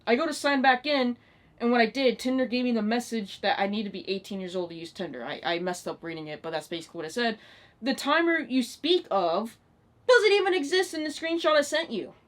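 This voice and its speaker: A woman's voice: a little high, expressive, fairly quick. A nineteen-year-old woman ranting in a casual, unscripted vlog style, exasperated and emphatic, with plenty of swearing. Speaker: online video creator.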